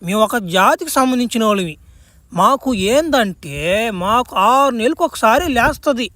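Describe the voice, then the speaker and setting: A man's voice: extremely high pitch, lively intonation, moderate pace, pushed and bright, no room sound, full character voice. A man voicing a proud clan elder, a comic cartoon villain explaining himself in dialect with self-importance. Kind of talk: crude comic cartoon dubbing. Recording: music behind the voice.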